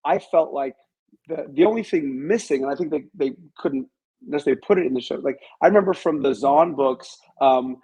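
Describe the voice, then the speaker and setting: Husky, higher-pitched man in conversation. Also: fairly quick, mellow, rough recording. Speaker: interview guest, author. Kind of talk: conversational interview discussion.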